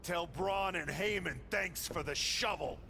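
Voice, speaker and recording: An actor playing a merciless pro wrestler villain in a video game, menacing and taunting. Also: male voice, sits high, measured pace, gravelly, crowd noise. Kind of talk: video game cutscene acting.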